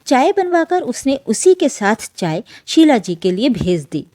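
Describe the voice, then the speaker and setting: A woman narrates an emotional family tale in a scripted, dramatic storytelling style. Her voice sits a little high and moves with lively melody, yet stays soft and mellow in tone. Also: a little slow, no echo.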